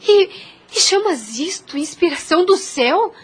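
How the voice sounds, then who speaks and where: A woman's voice: very high voice, expressive, normal speed. A Brazilian woman playing a devout, self-denying young woman in an audio drama, earnest and solemn, with formal phrasing. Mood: reproachful. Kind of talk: audio drama acting, formal old-fashioned speech.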